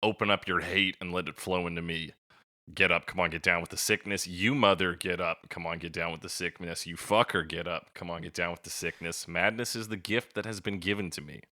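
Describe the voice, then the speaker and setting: A man's voice: low voice, fairly even intonation, brisk, pushed and bright, dry recording, studio quality. A man co-hosting a podcast, chatting casually in a loose, jokey way and dropping in quoted lines. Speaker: podcast co-host. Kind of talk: casual chat about song lyrics.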